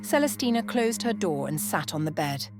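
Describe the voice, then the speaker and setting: A woman's voice: fairly low pitch, big pitch swings, moderate pace. A woman reading scripted story narration as the narrator. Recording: music behind the voice. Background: music.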